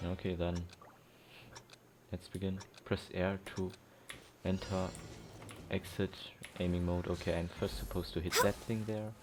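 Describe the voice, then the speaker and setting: A man's voice: deep voice, flat delivery, a little slow, long pauses, background noise. A man casually commentating as he plays a video game, rambling and thinking aloud. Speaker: gameplay commentator. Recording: game music loud behind. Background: game music.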